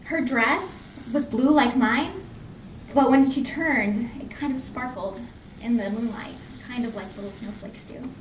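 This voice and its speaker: Lady doing live storytelling, high voice, expressive and chatty, kinda far from the mic w a bit of noise. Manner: expressive, conversational, with pauses and repeated phrases for effect. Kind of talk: live oral folk tale storytelling. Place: indoor library program before an audience. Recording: echoey room from far off, faint noise.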